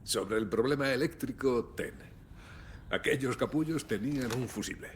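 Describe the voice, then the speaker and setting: A man's voice: moderate pace, natural voice. An actor playing a wry, world-weary, blunt and stoic lawman in dramatic video game dialogue. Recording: faint background rumble and noise.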